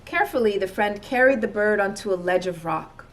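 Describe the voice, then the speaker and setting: A woman reading a children's storybook aloud as an expressive narrator. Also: medium pitch, a little fast. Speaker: storybook reader.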